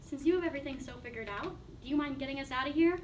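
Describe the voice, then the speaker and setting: Actress as a high-voiced sardonic young woman. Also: rapid-fire, close to an everyday voice. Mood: dry, teasing. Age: young adult. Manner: sarcastic, blunt. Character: sardonic, blunt 23-year-old roommate, mocking and judgmental. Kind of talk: one-act play dialogue. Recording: distant mic, faint background noise.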